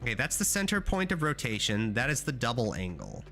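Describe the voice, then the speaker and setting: A young man live-streaming while he codes, talking loosely to his chat and swearing freely. His voice is bright and lively, jumping up high when he gets excited. Faint music plays underneath. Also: brisk.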